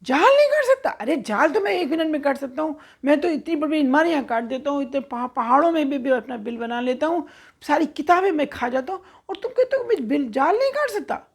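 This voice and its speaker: Lady doing a little storybook mouse guy, high creaky voice, scared but super braggy, always protesting. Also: sing-song, fairly quick. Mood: indignant boasting. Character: timid, boastful little male mouse, excitable friend.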